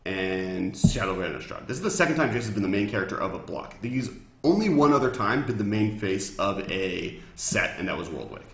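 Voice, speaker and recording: A man's voice: lowish voice, animated, fairly quick, vocal fry, a bit bright. A man in a casual, explanatory chat, speaking as a guest who explains game lore. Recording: echoey room.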